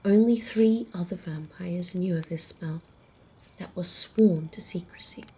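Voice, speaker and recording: Woman acting a hushed, slow-spoken female vampire storyteller. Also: lowish voice, exaggerated voice. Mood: solemn, secretive. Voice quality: soft, breathy, often whispering. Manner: measured, deliberate, hushed storyteller. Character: ancient female vampire calmly telling old lore by campfire. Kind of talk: slow ASMR roleplay storytelling, half-whispered. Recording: faint outdoor night noise, crickets.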